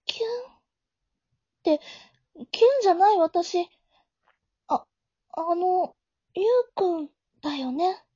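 A high-pitched, mellow high school girl, acted by a woman in an amateur audio drama. She is caring and easily flustered, moving between inner narration and dialogue. The sound is very dry and slightly dull, like a home recording. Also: takes it slowly, pauses often, no room sound.

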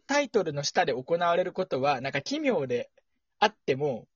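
A young man hosting a casual podcast, playfully deadpan, slipping between polite announcer phrasing and chatty rambling. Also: a little high, moderate pace, slightly rough, dry recording. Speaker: podcast host. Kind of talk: casual two-person podcast chat with mock news segment. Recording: dull lo-fi audio.

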